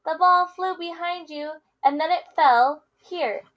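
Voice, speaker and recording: A woman's voice: very high-pitched, measured pace, a bit bright, muffled recording, voice pushed up. A woman playing an earnest, patient male elephant in a children's picture book, reasoning plainly. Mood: earnest correcting.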